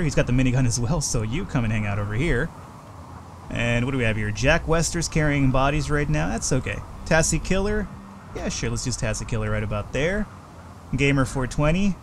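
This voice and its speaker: A man's voice: mid-range voice, a little hiss or hum. Chatty man narrating his strategy game. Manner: chatty, swears when frustrated. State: slightly hoarse. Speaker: let's play host. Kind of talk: casual game commentary.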